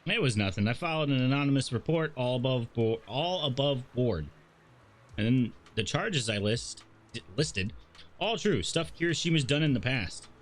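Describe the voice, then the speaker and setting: A man's voice: medium pitch, animated, moderate pace, lightly acted. A man voicing an easygoing, sly young game character with a casual, knowing manner. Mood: sly, explanatory.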